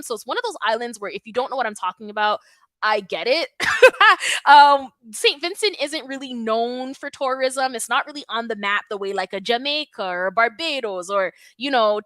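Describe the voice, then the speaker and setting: A woman speaks in a bright, fairly high voice, chatty and emphatic, sprinkling her talk with fillers. The sound is dry and close, with no room around it. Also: no room sound. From Toronto, Canada. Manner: emphatic, animated, conversational with many fillers. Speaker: natural hair YouTube host. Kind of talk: live stream Q&A with viewers.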